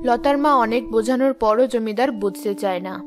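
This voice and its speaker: A woman's voice: a little high, fairly quick. A woman narrator reading a scripted children's story.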